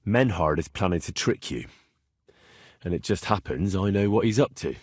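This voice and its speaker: A man's voice: deep voice, expressive, normal speed, no room sound, clean studio sound. A male actor playing a cheeky, blunt young swordsman who haggles cockily in a medieval video game. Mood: matter-of-fact. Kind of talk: video game character dialogue.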